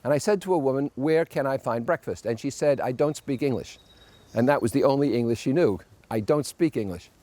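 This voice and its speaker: A man's voice: mid-range voice, fairly quick, mellow. An older man from New Jersey with a New York accent, giving an emphatic, opinionated monologue with wry asides as a podcast host. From New Jersey, United States.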